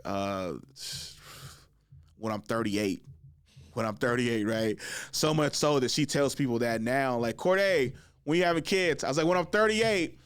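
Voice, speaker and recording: A man in his thirties hosting a casual chat, telling stories in a joking, slangy way, often laughing and jumping high in pitch when excited. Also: smooth, no room sound, clean studio sound. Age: 30s. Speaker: podcast host.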